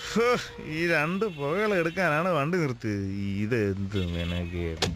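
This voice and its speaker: A man's voice: mid-range voice, a little slow, lightly acted. A man with a Thiruvananthapuram accent playing an easygoing, grumbling taxi driver in a radio drama. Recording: clear car and traffic noise.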